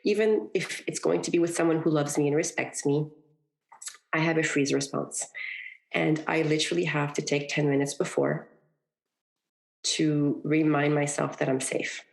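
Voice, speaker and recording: A woman from Egypt, a guest on a podcast, talking earnestly and reflectively in a relaxed interview, often adding "you know" and "right". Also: deep voice, moderate pace, vocal fry. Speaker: podcast guest, parenting coach. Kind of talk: conversational interview.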